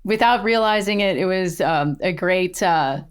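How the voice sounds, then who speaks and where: A woman's voice: lowish voice, unhurried, smooth. A middle-aged woman, a podcast guest and angler, telling her stories with enthusiasm and frequent laughs. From born in Australia, raised in the eastern United States. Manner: enthusiastic storyteller, laughs often. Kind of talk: casual interview chat.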